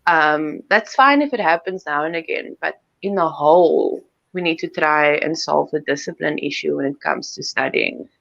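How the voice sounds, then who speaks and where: A South African woman whose first language is Afrikaans, explaining school policy in a relaxed, conversational way during a live online Q&A. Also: low-pitched, normal speed, creaky, hardly any pauses, no room sound, highs cut off. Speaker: school staff member.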